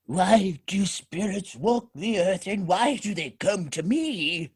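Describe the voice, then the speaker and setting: An actor playing a bewildered, newly dead miser's ghost in a comic radio play, flustered and whiny. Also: male voice, high voice, lively intonation, takes it slowly, husky, heavily acted.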